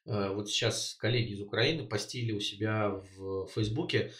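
A man talking politics in a casual two-person discussion, pausing often on filler sounds and pressing his points with emphasis. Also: on the low side, a bit bright. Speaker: co-host. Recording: roomy echo.

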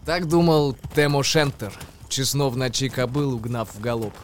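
A man, an actor, narrating a tale in verse for a radio play in an expressive, declamatory way. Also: medium pitch, normal speed, a little hiss or hum.

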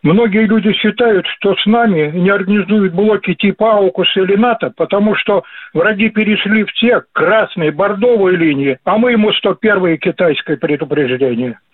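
A male caller on a tinny phone line speaks in a high voice. He is hesitant and gropes for words while asking the hosts a question. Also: moderate pace, hardly any pauses.

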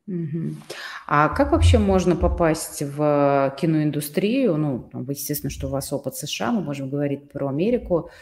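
A woman's voice: low-pitched, animated, moderate pace, a little mellow. A woman interview host who asks thoughtful, probing questions.

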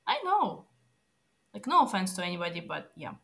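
A woman's voice: lowish voice, wildly swooping pitch, normal speed, vocal fry, a bit bright. Chatty woman with a Russian native tongue. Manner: chatty, hesitant, laughs often. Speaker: conversation participant. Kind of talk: casual chat.

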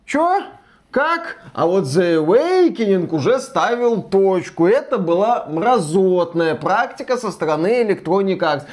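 A man giving opinionated, conversational commentary as a podcast co-host, speaking colloquially in a deliberate, emphatic way. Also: high-pitched, lively intonation. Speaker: co-host of a video game news podcast.

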